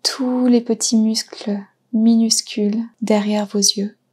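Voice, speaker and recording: Very slow, breathy woman guiding meditation. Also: on the high side, level tone. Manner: soft, breathy, soothing delivery with pauses. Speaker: yoga nidra guide. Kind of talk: guided relaxation meditation.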